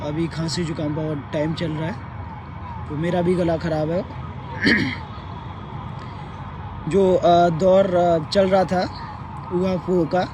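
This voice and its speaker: A man with a sore, hoarse throat hosting a casual live update, halting with fillers and clearing his throat. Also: a little high, noisy background. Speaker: live stream host. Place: sitting outdoors near a court.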